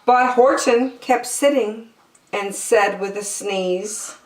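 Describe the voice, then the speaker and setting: A woman's voice: mid-range voice, slow pace, echoey room, rough recording. A woman storyteller reading a children's picture book expressively.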